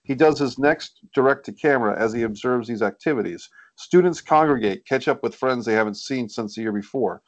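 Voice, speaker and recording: A man's voice: medium pitch, brisk, hardly any pauses, muffled recording. A man reading scene headings and stage directions as scripted narration for a screenplay table read over a video call. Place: indoors, group video call. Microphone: computer or headset on video call.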